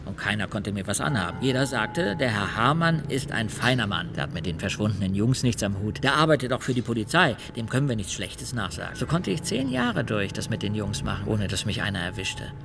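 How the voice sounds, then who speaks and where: A creaky, unrepentant killer confessing. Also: male voice, fairly low pitch, very animated, brisk, hardly any pauses, background noise. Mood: chillingly matter-of-fact. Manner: colloquial, unrepentant, matter-of-fact. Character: matter-of-fact confessing male serial killer, plain-spoken. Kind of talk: radio drama monologue. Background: music.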